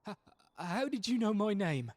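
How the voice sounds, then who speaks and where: A man's voice: high-pitched, big pitch swings, normal speed, darkish tone. Stammering, frightened male intruder acted by a man. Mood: terrified. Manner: stammering, pleading. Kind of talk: character audio roleplay drama.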